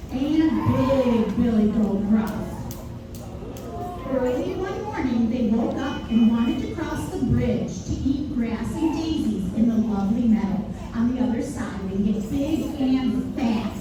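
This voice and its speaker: A woman reading a fairy tale aloud as live narration for a children's show, pausing for emphasis on key words. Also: fairly high pitch. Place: echoey room at a live preschool performance. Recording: distant, very echoey room with clear noise.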